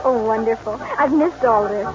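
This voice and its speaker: Actress as a high-voiced, principled rancher's daughter. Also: female voice, normal speed, music in the background, natural voice. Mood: gentle, troubled. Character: principled, firm young woman, rancher's daughter. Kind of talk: 1940s radio western acting. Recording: dull, noisy old radio recording.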